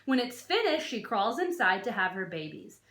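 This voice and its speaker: A woman's voice: mid-range voice, big pitch swings, moderate pace, fairly bright tone. A woman reading a non-fiction children's book aloud like a teacher, expressively, stressing key words and adding short explanatory asides. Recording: roomy, echoey sound.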